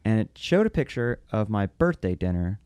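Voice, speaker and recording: A man's voice: on the low side, sing-song, normal speed, darkish tone, clear, resonant tone, no echo. A man chatting casually on a podcast, agreeing and chipping in conversationally.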